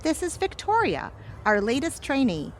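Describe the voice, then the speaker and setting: Actress for teasing young server woman in radio comedy: animated inflection, blunt, ambient noise behind. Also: high-pitched, normal speed. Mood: perky, blunt. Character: blunt, perky young waitress. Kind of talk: audio theatre comedy acting.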